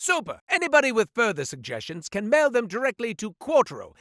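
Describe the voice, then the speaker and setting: A theatrical goat-man shopkeeper, hawking treasures with grand, swooping patter that leaps high and drops to a mutter. His voice rings bright and sharp, recorded close and dry in a studio booth with no room sound. Sly and showy, he undercuts himself with deadpan asides. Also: brisk, no room sound, heavily acted. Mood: sarcastic. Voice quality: wildly swinging pitch, booming then muttering. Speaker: shopkeeper character. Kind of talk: video game voice lines. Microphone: dry studio mic.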